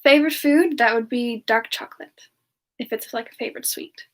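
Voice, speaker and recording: A woman playing a playful, gentle female demon character, soft-spoken and giggly in a casual semi-ASMR live chat. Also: fairly high pitch, normal speed, natural voice. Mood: playful, relaxed. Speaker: streamer answering chat and taking song requests.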